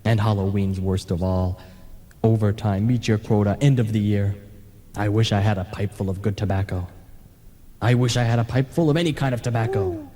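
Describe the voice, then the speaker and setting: A weary, grumbling male ghost, played by a man in a radio play, fed up with haunting. The voice is soft-edged and mellow, sardonic and put-upon, washed in long reverb on a broadcast tape. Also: lowish voice, normal speed, slight background noise, close to an everyday voice. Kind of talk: old-time radio play acting.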